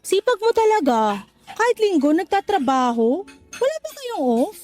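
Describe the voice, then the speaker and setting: A woman's voice: extremely high pitch, sing-song, normal speed, a little mellow, soft background music. An actress playing a frank, chatty young Filipino single mother in a radio drama. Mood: friendly, curious. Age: young adult. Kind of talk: Tagalog radio drama acting.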